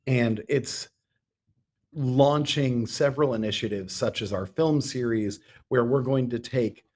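A middle-aged man answering interview questions as a guest, measured and given to emphatic repetition. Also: medium pitch, darkish tone, breathy edge. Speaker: interview guest, theater artistic director.

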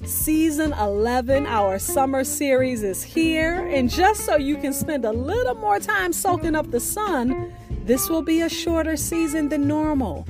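A woman podcast host reading a scripted sign-off in a deliberate, drawn-out, expressive way. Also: sits high. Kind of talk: scripted sign-off narration with spoken poetry. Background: music.

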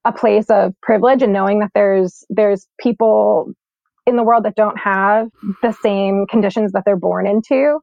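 A woman's voice: medium pitch, normal speed, vocal fry, no room sound. A young woman and climate scientist, born in Sweden and raised in New York, talking casually and reflectively in an interview with lots of "like" and "um.". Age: young adult. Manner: relaxed, reflective, heavy use of "like" and "um". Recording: dull lo-fi recording.

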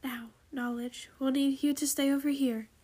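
A woman voicing a composed, authoritative mother dragon queen in a story, calm and commanding. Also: high-pitched, moderate pace, natural voice.

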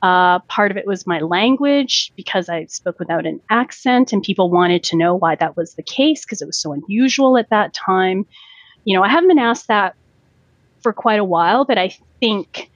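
A woman lawyer in her forties, from Canada, speaks in an unhurried, reflective way and often restarts her sentences. The recording is dry and close, with a slightly muffled top end. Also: mid-range voice, lively intonation, dry recording. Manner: thoughtful, with frequent fillers and restarts. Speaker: lawyer, podcast guest. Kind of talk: reflective podcast interview.

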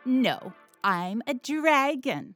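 A man's voice: very high voice, very animated, drawn-out words, sharp tone, faint music behind, pitched above the performer's own voice, heavily acted. A man voicing a tiny, fierce, boastful female ladybug in a children's story, bold and defiant, drawing out the boasts.

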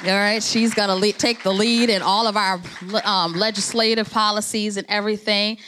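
A woman's voice: medium pitch, little pitch movement, moderate pace, bright, forceful tone, slight background noise. A woman from New Jersey, the president of an organization, giving a conversational, encouraging speech at a networking event. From New Jersey, USA.

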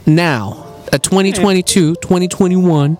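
A young man hosting a casual podcast, joking and laughing often, with a voice he calls high-pitched. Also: measured pace, darkish tone, faint music behind. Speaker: podcast host.

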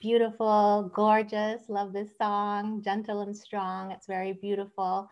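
A woman's voice: medium pitch, completely flat delivery, unhurried, lo-fi sound. A woman from northwest Spain hosting an online open mic in casual, gushing, exclamatory chat.

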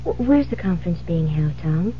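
An American actress playing a frightened young bride in a radio drama, hesitant and halting as she hides her fear. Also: lowish voice, animated, close to an everyday voice. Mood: nervous. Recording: dull, noisy old radio recording with hum.

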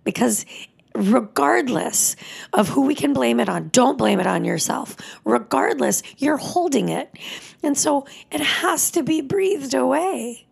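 A woman in her thirties, a yoga teacher, giving a soft, emotional spoken teaching that often drops into a whisper. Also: high-pitched, animated, measured pace, creaky, breathy edge.

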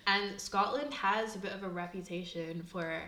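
A woman's voice: medium pitch, normal speed, pushed and bright, reverberant, slight background noise. A young woman hosting a student podcast, asking questions in a chatty way that is full of "like". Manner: chatty, heavy use of "like". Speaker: podcast host. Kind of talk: casual podcast interview.

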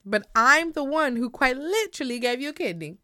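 A woman's voice: sits high, expressive, fairly quick, sharp tone, no echo, clean studio sound. A woman from Guyana co-hosting a podcast, gossiping casually with slang and acted-out quotes. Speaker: podcast co-host.

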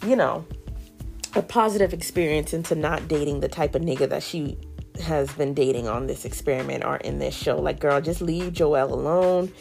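A woman hosting a podcast, giving a sassy, opinionated recap of a dating show with lots of slang and quick asides. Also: sits low, creaky, a little mellow, faint music behind. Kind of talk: casual recap commentary.

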